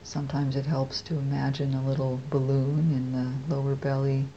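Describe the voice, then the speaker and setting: An American woman leading a guided meditation as a teacher, speaking softly and unhurriedly with long pauses. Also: a bass voice, flat delivery, muffled recording, slight background noise.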